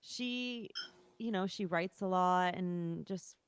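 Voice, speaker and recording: A woman's voice: on the low side, slow pace, clear, resonant tone, no room sound. A woman from Toronto chatting casually as a musician guest on a podcast. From Toronto, Canada. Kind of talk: casual chat.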